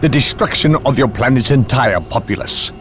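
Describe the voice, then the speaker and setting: An actor playing a cold, imperious inquisitor in a video game, with formal, deliberate, condescending speech and a gravelly voice. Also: male voice, mid-range voice, faint music behind, close to an everyday voice. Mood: probing. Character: imperious, cautious inquisitor, a cold and cutting man. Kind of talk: video game cutscene acting.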